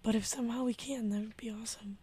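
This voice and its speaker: A young woman co-hosting a college radio show, chatting in a relaxed, offhand and playful way from a radio studio. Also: fairly high pitch, fast talker, husky. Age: young adult. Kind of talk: casual chat.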